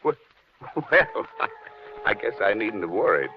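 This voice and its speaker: Folksy protective lodger in 40s radio play, deepish lively voice, faint hiss on old tape. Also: male voice, unhurried. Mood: amused, hearty. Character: protective, blustery, good-natured male lodger. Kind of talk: 1940s radio acting. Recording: muffled, noisy 1940s radio recording.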